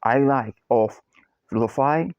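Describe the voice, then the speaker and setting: A man's voice: medium pitch, measured pace, clear, resonant tone, dry recording, dull audio. Brazilian man chanting in broken English. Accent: Brazilian Portuguese. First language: Portuguese. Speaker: copywriter. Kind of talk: repetitive chanted self-introduction.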